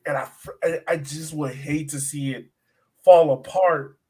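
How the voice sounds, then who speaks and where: A man's voice: fairly high pitch, steady tone, unhurried, darkish tone, slightly rough. A man co-hosting a sports podcast, chatting casually and agreeably as he builds on points. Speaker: podcast co-host. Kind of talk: casual soccer discussion.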